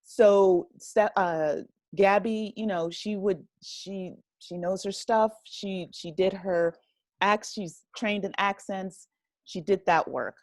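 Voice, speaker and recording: An American woman speaking casually as an interview guest, halting and often rephrasing, with plenty of "you know". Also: medium pitch, darkish tone, no echo. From United States, a rural town. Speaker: interview guest, writer and director of an audio drama.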